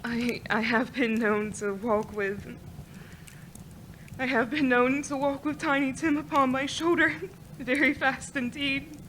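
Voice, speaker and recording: A grieving mother in a Christmas radio drama. Her highish voice is gentle and halting, with faint noise behind it. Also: steady tone, rough recording. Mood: tender, wistful. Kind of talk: radio play acting.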